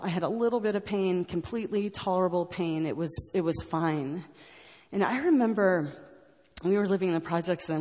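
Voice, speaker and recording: American woman sharing her story, lowish voice, lots of ums and restarts, big echoey hall. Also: darkish tone. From United States. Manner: rambling, earnest, many fillers and restarts, laughs often. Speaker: speaker sharing her story at a recovery meeting. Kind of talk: personal testimony to an audience.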